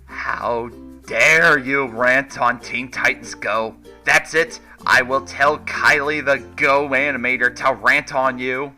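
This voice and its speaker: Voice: medium pitch, fairly even intonation, slow, over music. A person giving a dramatic, mocking reading of online comments with exaggerated delivery.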